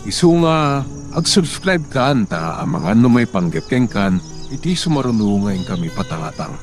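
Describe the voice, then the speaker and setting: Animated male radio drama announcer. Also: mid-range voice, moderate pace. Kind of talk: scripted promotional narration.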